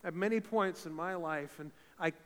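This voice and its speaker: A fervent male pastor from eastern Canada preaches with a big, slightly high voice, and his words ring out through a reverberant church hall. He swells from conversational talk to loud, emphatic peaks. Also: moderate pace. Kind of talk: sermon. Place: church sanctuary.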